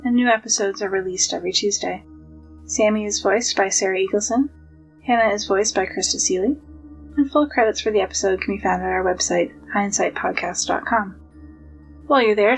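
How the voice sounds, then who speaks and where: A woman's voice: mid-range voice, creaky, low-quality recording. A woman reading scripted podcast credits as an announcer.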